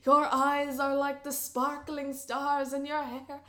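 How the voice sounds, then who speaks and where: A woman's voice: sits high, monotone, slow, fairly bright tone, reverberant. A woman voicing a proud young Italian man, a rival musician, speaking in grand, formal, flowery lines. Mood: passionate.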